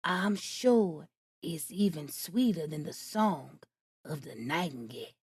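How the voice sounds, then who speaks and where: A woman voicing a sly, smooth-talking male fox who flatters to get his way, in a storybook reading. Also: deep voice, slow pace, dry recording, pitched below the performer's own voice, full character voice. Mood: coaxing. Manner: sweet-talking, coaxing.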